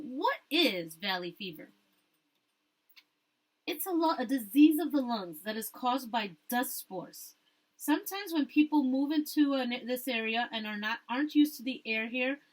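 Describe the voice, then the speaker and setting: A woman with a fairly high voice reads a children's novel aloud as a teacher would. She narrates and stops to put questions to her pupils.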